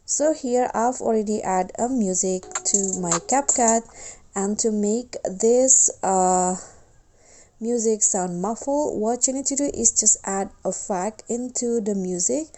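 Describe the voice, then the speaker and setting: A woman narrating a casual step-by-step software tutorial as its host. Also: mid-range voice, slow pace.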